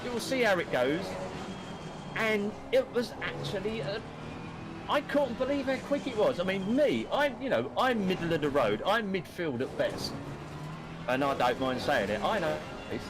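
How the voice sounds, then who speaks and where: A Cockney man in his fifties from East London, commentating a sim race live in a chatty, excitable way, swearing casually. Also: high-pitched, normal speed, over music. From East London, England. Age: 50s. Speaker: race broadcast commentator and streamer.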